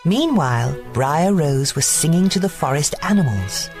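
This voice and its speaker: A woman narrating a bedtime fairy tale, slow and expressive, with music behind. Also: sits low. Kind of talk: bedtime story reading.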